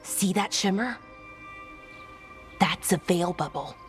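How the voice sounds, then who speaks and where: An actress playing a young, naive elf researcher in a video game, excitable and halting in manner. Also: female voice, fairly low pitch, faint music behind. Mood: explaining eagerly. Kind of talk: video game character acting.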